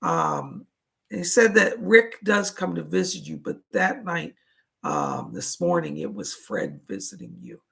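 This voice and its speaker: A man with a raspy voice, a psychic medium giving live readings in a soothing, reassuring, chatty way. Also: sits high, huge pitch swings, measured pace, a bit bright.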